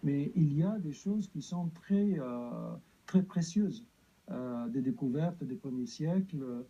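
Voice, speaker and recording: Hesitant man, very dark voice. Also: on the high side, expressive, slow pace, breathy edge, highs cut off. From Egypt. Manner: hesitant, many fillers and restarts. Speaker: guest. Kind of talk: TV interview answers.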